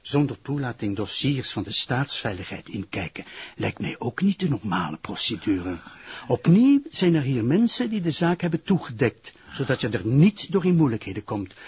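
An actor playing a stern public prosecutor with a Flemish accent in a television crime drama, formal, sardonic and reprimanding. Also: male voice, medium pitch, slightly rough. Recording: dull, muffled television audio.

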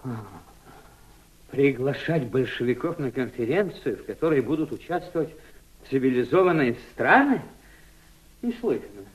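An actor in a Soviet radio drama playing a courteous, high-strung émigré gentleman, speaking theatrically and emphatically in halting phrases. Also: animated, close to an everyday voice. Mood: agitated, indignant. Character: agitated, courteous anti-Bolshevik émigré gentleman. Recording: dull old radio play recording.

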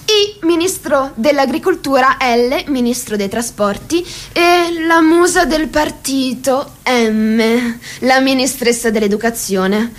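A woman acting as a formal narrator in a radio play, reciting in a deliberate, measured way. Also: high voice. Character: female narrator reciting a party hierarchy, precise and formal. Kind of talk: theatrical radio drama narration in Italian.

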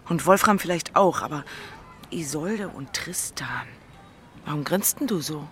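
A woman's voice: low voice, expressive, a little slow, a bit bright. Blunt, teasing city woman over noisy ambience. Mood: grumbling. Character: Senta, impulsive, bookish single city woman, gallery worker. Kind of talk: literary audio drama dialogue and narration.

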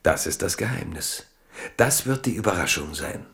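A man narrating a literary radio drama in a measured, solemn way. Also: low voice, expressive, vocal fry, a bit bright.

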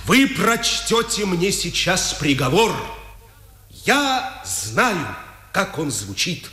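A proud forty-year-old prisoner on trial in an old Soviet radio drama. He declaims slowly and with passion in a high voice that swings widely. Long echo trails each phrase. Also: sing-song, bright, forceful tone, heavily acted. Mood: proud defiance. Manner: declamatory, deliberate, impassioned. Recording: echoing hall, 1950s radio recording.

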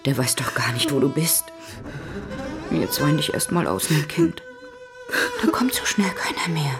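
A woman's voice: deep voice, big pitch swings, unhurried. An actress playing a blunt, resolute and protective motherly older woman in a radio play. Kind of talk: German radio play acting. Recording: music behind.

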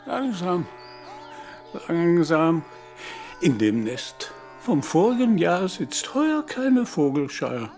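Radio play role: dying old nobleman, gentle, repentant, very slow and solemn, raised pitch, music behind. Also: big pitch swings, heavily acted. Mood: calm, resigned. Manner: solemn, measured, formal delivery. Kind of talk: literary radio play acting.

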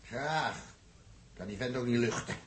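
A man's voice: medium pitch, measured pace, lightly acted. An actor playing a grumbling spaceship crewman in a 1960s science fiction radio drama. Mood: grumbling, muttering. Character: grumbling male spaceship crewman at his instruments. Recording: old radio recording, loud engine-like noise.

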